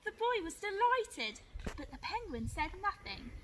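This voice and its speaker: High-pitched woman reading a children's storybook. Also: a little slow, slight background noise, low-quality recording. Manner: expressive, clearly phrased for young children. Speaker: primary school teacher. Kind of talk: expressive children's storybook read-aloud.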